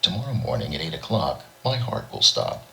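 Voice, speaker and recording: A man's voice: low voice, very animated, a little fast, gravelly, pushed and bright, pitched above the performer's own voice, exaggerated voice. A man voicing a desperate, defiant man in a short story, speaking in terse, urgent phrases. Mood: obedient. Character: desperate, defiant awakened man resisting alien masters.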